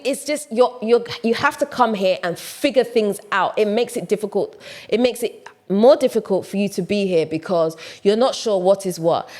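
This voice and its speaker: A woman's voice: medium pitch, darkish tone. A woman with a British accent and some Ghanaian traces, from Ghana, chatting to camera in a casual vlog and repeating words for emphasis. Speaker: vlogger and matchmaker. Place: home in Ghana.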